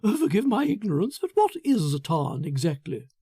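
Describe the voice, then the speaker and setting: A man's voice: high voice, sing-song, normal speed, dark tone, slightly rough, no room sound, studio quality, pitched above the performer's own voice, full character voice. A man voicing an eager, self-satisfied, sentimental and patronizing thin man in an audiobook, prattling on effusively. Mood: eager, polite. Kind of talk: audiobook character acting.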